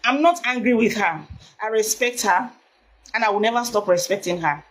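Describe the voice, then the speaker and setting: A Nigerian woman with a loud voice, talking on a live video in an emphatic way and repeating her points. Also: mid-range voice, moderate pace, poor audio. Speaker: vlogger.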